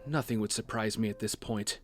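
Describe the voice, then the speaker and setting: A man's voice: on the low side, very noisy, close to an everyday voice. An actor playing a serious, determined man protective of his sister, in a naturalistic audio drama style. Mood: weary, confiding. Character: guilt-ridden, determined brother tracking his sister, protective and earnest.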